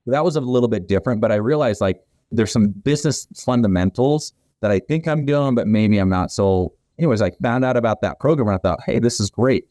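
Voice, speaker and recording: Male guest in his forties: low, mellow, easygoing and chatty, recorded dry and close. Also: brisk, no room sound. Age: 40s. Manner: friendly, rambling with restarts. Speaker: guest, company founder. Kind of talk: casual interview answers.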